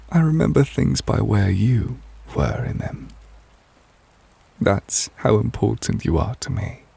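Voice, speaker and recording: A man playing a devoted, tender but possessive lover in a romantic ASMR roleplay, speaking softly and intimately in a measured, wistful way, close to the microphone. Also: lowish voice, dry recording, full character voice. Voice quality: soft, breathy, husky. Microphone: close, dry microphone.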